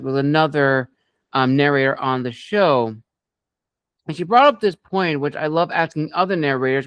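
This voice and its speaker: A man hosting an interview show, speaking conversationally with measured, deliberate phrasing. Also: fairly high pitch, clear, resonant tone, no room sound. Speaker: podcast interview host.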